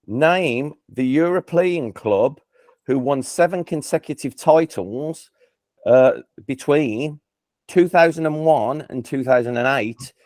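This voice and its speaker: Man, Birmingham accent, quiz host, chatty, stumbling restarts, mid-pitched voice. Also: darkish tone, no room sound, studio quality. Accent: Birmingham English. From England. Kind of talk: casual online quiz hosting.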